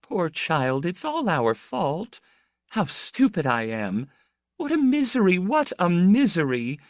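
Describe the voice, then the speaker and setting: A tender, fussing older lady, as a male narrator puts her on who murmurs comfort in a high voice that leaps up and down. She talks slowly and anxiously. The reading is close and dry. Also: wildly swooping pitch, no echo, full character voice. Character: anxious, motherly older woman. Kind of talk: audiobook character voice.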